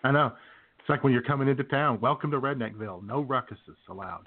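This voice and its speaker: A man's voice: medium pitch, fast talker. A man co-hosting a comedy podcast in casual, joking banter with a laid-back, drawling manner. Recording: thin phone-like band-limited audio.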